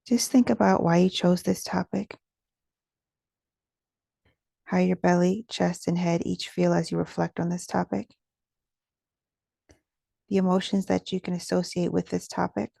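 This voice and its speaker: A woman guides a meditation in a relaxed, warm voice that sits a little low. Her delivery is gentle and soothing, with soft pauses and a noticeable vocal fry as she gives each instruction. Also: no room sound, clean studio sound. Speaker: guided meditation teacher and host.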